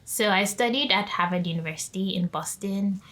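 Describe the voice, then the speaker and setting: Polite young woman, soft laughs. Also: mid-range voice, normal speed. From Nigeria. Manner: polite, affirming, punctuates answers with laughs and "yes". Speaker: graduate student guest. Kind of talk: casual interview.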